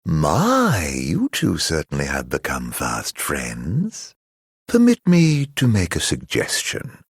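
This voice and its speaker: An actor playing a mocking, theatrical immortal villain in a video game, drawling mock-polite taunts in a husky, gravelly voice. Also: male voice, rumbling low, huge pitch swings, slow, dry recording, clean studio sound, full character voice. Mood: mocking, taunting.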